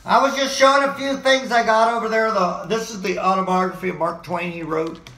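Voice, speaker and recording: Hoarse, gravelly man rambling at home. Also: high-pitched, fairly quick. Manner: excitable, rambling, jumps between topics. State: hoarse, losing his voice. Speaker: vlogger. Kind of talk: rambling home video monologue. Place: living room at home, indoors. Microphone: desk mic on a boom arm, webcam framing. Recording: roomy home echo.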